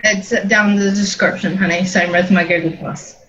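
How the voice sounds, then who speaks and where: A woman's voice: on the low side, steady tone, normal speed, fairly bright tone. Chatty New Zealand woman hosting a stream. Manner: chatty, rambling with many ums. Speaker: host. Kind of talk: casual livestream chat. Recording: dull lo-fi stream audio.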